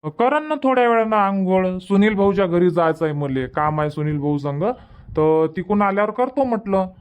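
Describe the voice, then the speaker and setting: A man's voice: high voice, animated, normal speed, smooth. A man voicing an easygoing, stubborn village husband in a cartoon comedy, chatty and colloquial, with a rural Varhadi Marathi accent. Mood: explaining, mildly insistent. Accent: rural Varhadi Marathi from Vidarbha. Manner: casual, colloquial, explaining at length.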